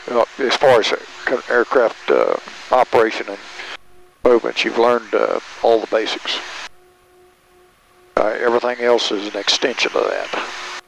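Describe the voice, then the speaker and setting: An older man in his sixties instructing in halting, short directives over an airplane headset intercom. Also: mid-range voice, gravelly, fairly bright tone, low-quality recording. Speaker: flight instructor. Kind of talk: calm cockpit instruction. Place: small airplane cockpit in flight. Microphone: aviation headset boom mic. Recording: aircraft intercom headset with engine noise.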